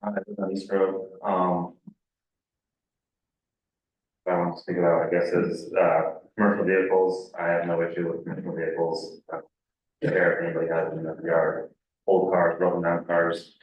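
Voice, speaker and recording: A very echoey, distant recording of an American man with a low voice. He gives plain-spoken, halting public comment as a town resident. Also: flat delivery. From Connecticut, United States. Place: indoor public meeting room. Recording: very echoey meeting room, distant muffled mic.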